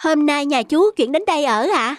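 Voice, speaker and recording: A woman's voice: high voice, animated, normal speed, no room sound. A woman voicing a kind, helpful young boy in a cartoon, earnest and encouraging. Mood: sympathetic. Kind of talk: cartoon dubbing.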